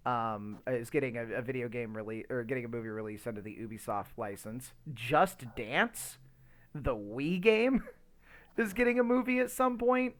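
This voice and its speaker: A man's voice: mid-range voice, expressive, normal speed. A man co-hosting a podcast in a casual discussion, talking in a rambling, hesitant way with lots of filler words. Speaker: podcast co-host.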